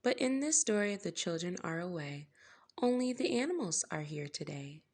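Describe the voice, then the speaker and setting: A woman reading a picture book aloud for young children as a storytime host, with measured, gentle phrasing and playful emphasis. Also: on the low side, lively intonation.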